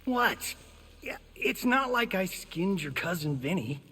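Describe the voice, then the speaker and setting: A man playing a cocky, wisecracking film-star fighter in a video game, husky and full of slang. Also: sits high, big pitch swings, measured pace, bright, forceful tone, long reverb tail, slight background noise, exaggerated voice. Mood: cocky, teasing. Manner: slangy, joking. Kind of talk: video game character dialogue.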